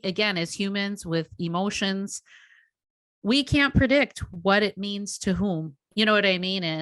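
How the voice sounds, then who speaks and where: A woman's voice: mid-range voice, a bit bright, no room sound. A woman, a teacher and podcast co-host, musing aloud in a thoughtful, rambling way, full of "you know" and "like". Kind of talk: reflective casual chat.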